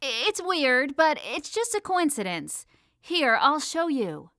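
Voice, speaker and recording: A woman acts out an excitable, stubborn teenage girl in an audiobook. Her voice is high and bright, with very lively pitch. She speaks in a dramatic, emphatic way. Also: slow, heavily acted. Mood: dismissive, insistent. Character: excitable, stubborn sixteen-year-old girl. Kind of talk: audiobook character dialogue.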